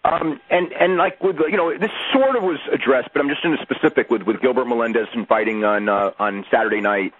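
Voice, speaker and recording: A man speaks over a tinny telephone line with a husky, mid-pitched voice. He puts reporter's questions in a halting, rambling way, doubling back on his words. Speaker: sports reporter. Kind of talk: spontaneous press questioning. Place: conference call over phone.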